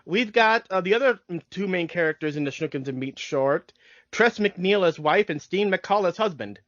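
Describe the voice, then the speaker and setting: A man's voice: high voice, lively intonation, moderate pace, fairly bright tone, dry recording. A man hosting a podcast in casual, fannish conversation. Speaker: podcast host. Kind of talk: casual fan podcast chat. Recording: dry, dull lo-fi recording.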